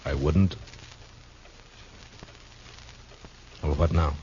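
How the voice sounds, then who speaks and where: A man's voice: a bass voice, steady tone, normal speed, warm tone, a little hiss or hum. An actor playing a stern, weary frontier lawman in a 1950s radio western, terse, grave and firm. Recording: muffled 1950s radio recording.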